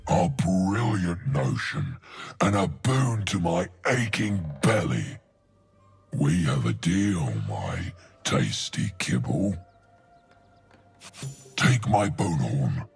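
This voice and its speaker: A man voicing a huge, erudite and greedy ogre in a video game, gravelly, florid and pompous, savouring his words. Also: sits low, very animated, very slow, pauses often, full character voice. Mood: greedy delight. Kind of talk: video game character acting. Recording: game audio with faint music.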